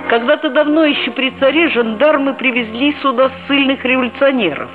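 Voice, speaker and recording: A woman's voice: high-pitched, fairly quick, over music, lightly acted. Seventh-grade boy storyteller played by a woman: piping, earnest, mock-grave radio drama delivery, narrow old-broadcast sound. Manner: mock-serious, expressive. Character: schoolboy narrator, earnest, mischievous seventh-grader. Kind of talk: radio play narration, storytelling. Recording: tinny vintage 1960s radio recording.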